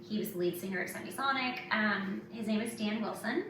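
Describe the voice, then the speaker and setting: A woman's voice: medium pitch, fairly quick, fairly bright tone. A woman hosting a podcast, chatting casually in an informal, conversational way. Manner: informal, filler-heavy, conversational. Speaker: podcast host and author. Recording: very echoey, distant mic, clearly noisy.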